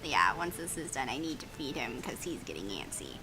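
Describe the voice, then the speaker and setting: A woman hosting a let's play, reading story text aloud in a playful, expressive way with exclamations and casual asides. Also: rumbling low, fairly quick, low-quality recording. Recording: music behind the voice.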